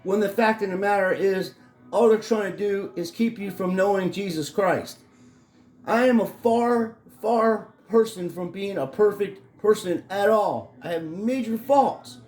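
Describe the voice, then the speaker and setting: A middle-aged man with a fairly high, hoarse voice rants into the camera, cursing and rambling with angry conviction. His voice rings off the bare walls of an echoey room. Faint music plays underneath. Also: measured pace, a little mellow. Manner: angry, profane, rambling rant. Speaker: vlogger. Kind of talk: ranting vlog. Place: his home in Florida.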